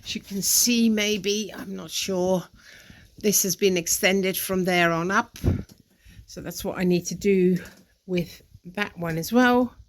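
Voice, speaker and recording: A woman's voice: mid-range voice, slow. A woman with a nasal, congested voice from a cold, giving a casual, matter-of-fact progress update as a DIY vlogger. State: has a cold. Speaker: boat renovation vlogger.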